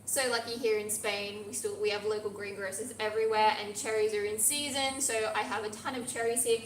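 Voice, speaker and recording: A woman's voice: medium pitch, fairly even intonation, brisk, roomy sound, a little hiss or hum, poor audio. A woman from Australia with an Australian accent, chatting encouragingly as she demonstrates a baking recipe in a teaching kitchen. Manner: chatty, encouraging, talks while working with her hands. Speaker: pastry instructor. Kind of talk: live cooking demonstration. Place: teaching kitchen at a pastry academy.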